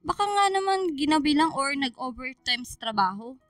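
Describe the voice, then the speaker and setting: A young Filipino daughter, caring and girlish. The voice is extremely high and bright, recorded dry for radio drama with music underneath. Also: lively intonation, moderate pace, dry recording. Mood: concerned.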